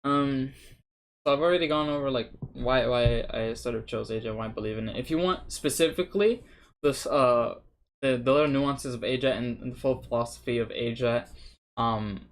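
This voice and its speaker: A man's voice: mid-range voice, normal speed. A young man whose first language is Spanish, rambling and swearing casually to a cheap webcam while seated indoors, with lots of restarts and fillers. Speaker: vlogger. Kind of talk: casual unscripted chat to camera.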